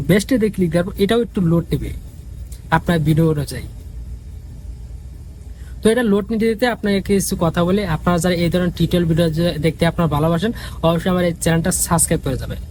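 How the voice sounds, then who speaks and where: A man's voice: sits high, fast talker, a little mellow, slight background noise, rough recording. A man giving a casual, repetitive step-by-step app tutorial as the host. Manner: repetitive, instructional.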